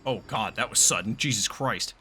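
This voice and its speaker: A man's voice: on the low side, normal speed, sharp tone. A man doing casual, blunt live reaction commentary while gaming, exclaiming and swearing freely.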